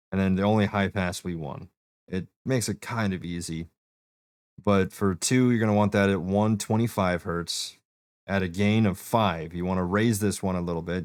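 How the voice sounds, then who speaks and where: A man with a deep voice talks a friend through settings in a relaxed, plain way, with plenty of fillers. His tone sits low. The sound is dry, with no room around it. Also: no room sound. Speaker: streamer giving setup help. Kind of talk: casual walkthrough.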